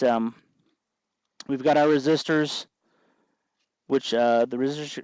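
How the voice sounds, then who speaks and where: A man hosting an informal electronics tutorial, explaining things off the cuff in a halting way as he thinks aloud. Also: medium pitch, a little mellow, clear, resonant tone, dry recording. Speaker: host of an electronics tutorial show. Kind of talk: unscripted hands-on tutorial narration.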